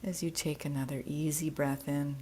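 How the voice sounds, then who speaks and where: A woman teaching yoga nidra, leading a guided relaxation meditation in a gentle, soothing, unhurried manner. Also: low voice, steady tone, a little hiss or hum.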